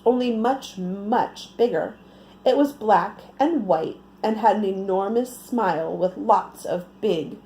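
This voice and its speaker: Casting: female children's storyteller, picture-book read-aloud, highly melodic delivery, mellow tone, faint noise behind. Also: low-quality recording. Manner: expressive, asks the listeners questions.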